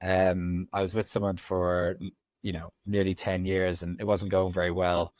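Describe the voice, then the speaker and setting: A man in his forties with an Irish accent, from Ireland, telling his story as a podcast guest in a reflective, halting way. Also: sits low, flat delivery, darkish tone, no room sound. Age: 40s. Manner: reflective, frequent ums and restarts. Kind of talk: candid conversational storytelling.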